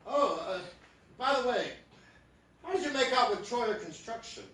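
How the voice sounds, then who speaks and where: An actor playing a blustery secret millionaire posing as a humble lodger, hesitant and quick to bluster, in old-time radio comedy style. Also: male voice, extremely high pitch, animated, a bit bright, recorded from a distance. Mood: weary, prying. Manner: indignant, hesitant, stammering excuses. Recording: echoey theatre stage recorded from afar, noisy.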